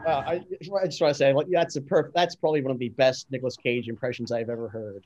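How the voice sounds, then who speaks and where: A man reading stage directions in a plain, slightly halting way at an amateur script reading. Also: mid-range voice, fairly even intonation, darkish tone, dull audio, a little hiss or hum.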